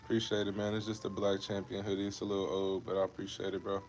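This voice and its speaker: A man's voice: deep voice, completely flat delivery, fast, a little mellow, a little hiss or hum, rough recording. A man in his twenties from Ohio, chatting casually with viewers on a fitness livestream, laid-back and repetitive, calling them bro and man. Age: 20s. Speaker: fitness creator hosting a livestream.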